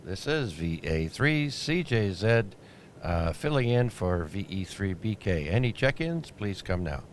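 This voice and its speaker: A man acting as net controller on an amateur radio net, talking in a relaxed, halting way with many fillers. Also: fairly low pitch. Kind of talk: ham radio net chatter. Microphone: radio transceiver mic. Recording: noisy shortwave radio audio.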